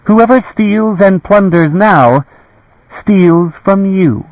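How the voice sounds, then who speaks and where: A man's voice: sits high, slow pace, no room sound, voice pushed up. A man putting on a cold commanding lady. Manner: still, clear, imperious. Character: cold commanding tall woman warrior-lady. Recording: dull lo-fi audio.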